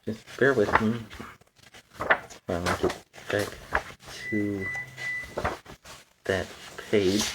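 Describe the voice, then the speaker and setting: A man's voice: fairly low pitch, background noise. A man in a superhero persona reading a children's storybook aloud, with chatty, playful asides between the passages.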